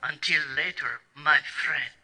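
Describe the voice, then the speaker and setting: A man's voice: a little high, measured pace, noisy background, natural voice. A man voicing a grandiose, scheming female villain with icy courtesy, declaiming in theatrical old-time radio style. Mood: grandly menacing.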